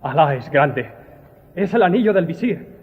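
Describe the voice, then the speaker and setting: Wary guard in deep reverb. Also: male voice, lively intonation, moderate pace, breathy edge. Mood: wary, challenging. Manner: wary, commanding. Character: loyal, suspicious young guard of the gunpowder. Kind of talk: radio drama acting. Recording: slightly muffled, long cave-like reverb.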